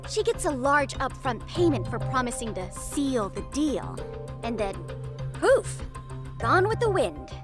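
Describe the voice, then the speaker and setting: A woman's voice: sits high, big pitch swings, a little slow. A woman playing a self-important teenage schoolgirl who leads a detective club in a video game dub, with pompous, precise, dramatic phrasing. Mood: playful, dramatic. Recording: music under the dialogue. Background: music.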